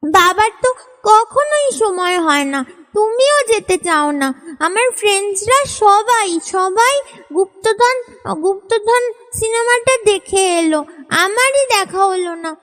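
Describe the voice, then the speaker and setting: A woman voicing a whiny, pleading young child in a family sketch, wheedling with drawn-out words. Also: very high-pitched, animated, fairly bright tone, soft background music, exaggerated voice. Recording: reverberant hall-like echo.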